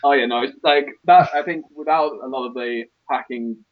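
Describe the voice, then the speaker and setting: A man's voice: mid-range voice, normal speed, highs cut off. A man talking casually as co-host in a podcast discussion.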